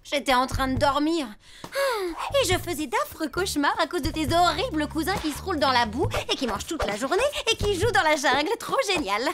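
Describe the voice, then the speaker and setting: An actor playing a small, excitable, whiny animal in a French-language cartoon dub. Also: high voice, fairly quick. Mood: whiny, grumpy. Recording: music under the voice.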